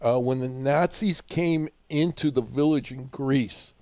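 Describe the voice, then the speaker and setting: A man's voice: mid-range voice, a little slow, darkish tone, no room sound. A man with a Chicago accent hosting a live call-in radio shopping show, chatty and rambling, often repeating words as he starts a thought.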